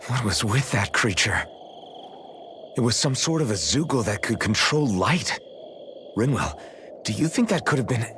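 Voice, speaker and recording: A man voicing a steady, thoughtful young warrior in a video game cutscene, frank and questioning. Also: medium pitch, moderate pace, long pauses, slight background noise, natural voice. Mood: wary. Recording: music behind the voice.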